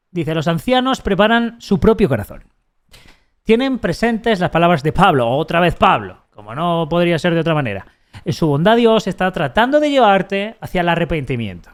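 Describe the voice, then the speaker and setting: A man's voice: on the high side, sing-song. A man with a Spanish accent from Spain reading a text aloud on a live stream, breaking off for sarcastic, mocking asides and restarting his sentences often. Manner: halting, frequent restarts while reading.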